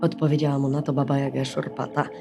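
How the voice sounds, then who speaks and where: A woman storyteller narrating a bedtime fairy tale in an expressive, scripted style. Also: extremely low pitch, fast, vocal fry. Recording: music under the voice.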